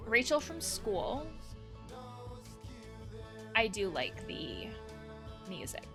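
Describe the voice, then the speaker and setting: A woman's voice: fairly high pitch, big pitch swings, drawn-out words, sharp tone, faint music behind. A woman hosting a casual let's play, reading game text aloud and adding chatty asides as she reacts. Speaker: host of a video game let's play, therapist.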